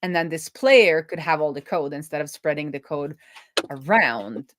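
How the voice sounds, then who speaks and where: A woman talking casually as she live-codes, thinking aloud in a playful, animated manner. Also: deep voice, normal speed, background noise. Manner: animated, playful, breaks into laughter and song. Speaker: live-coding streamer, web developer.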